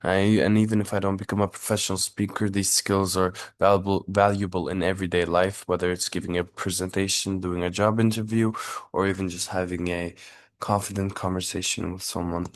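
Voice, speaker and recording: A young man from Turkey delivers a prepared class presentation in a very low voice that barely rises or falls. He speaks earnestly, stumbling into small restarts and fillers. The recording is dry and close. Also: flat delivery, brisk, no echo. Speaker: student giving a class speech.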